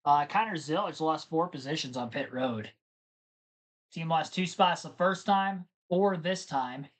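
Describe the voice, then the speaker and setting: A man's voice: fairly high pitch, measured pace. A man hosting a live race stream, chatting casually and rambling to his viewers between race updates. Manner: chatty, rambling, talks to chat.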